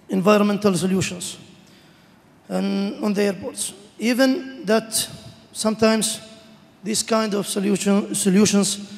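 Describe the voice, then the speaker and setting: A man's voice: sits high, creaky. A man in the audience asking a panelist a question at a conference, hesitant, with many fillers and non-native phrasing. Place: large conference hall. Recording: reverberant hall.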